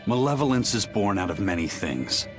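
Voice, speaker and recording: A man's voice: fairly low pitch, sing-song, moderate pace, music in the background. A man playing a stoic, principled, philosophical warrior in a video game. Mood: solemn.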